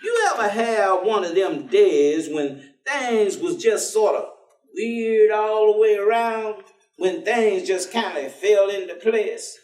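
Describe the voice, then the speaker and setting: An actor playing a warm, streetwise American ex-convict turned preacher in a staged reading, with a folksy, slangy, teasing way of telling things. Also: male voice, high-pitched, animated, slow. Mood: gentle, probing. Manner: folksy slangy drawling storyteller, teasing, chuckling. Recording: echoey theatre stage, distant mic.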